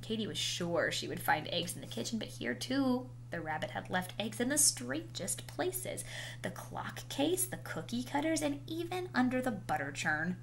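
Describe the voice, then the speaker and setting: A woman's voice: on the high side, vocal fry. Woman reading a storybook, noisy recording. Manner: animated, dramatic emphasis with drawn-out words. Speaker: storybook reader. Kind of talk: expressive read-aloud of a children's picture book. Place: indoors, small room at home. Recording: noisy home video recording.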